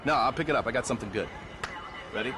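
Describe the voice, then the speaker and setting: A man's voice: medium pitch, racing, noisy background, close to an everyday voice. An actor playing a vain, cocky male federal agent who presents his own TV show in a pompous, melodramatic way. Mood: irritated. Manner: pompous, self-satisfied, melodramatic. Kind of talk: hard-boiled TV presenting and off-camera bragging.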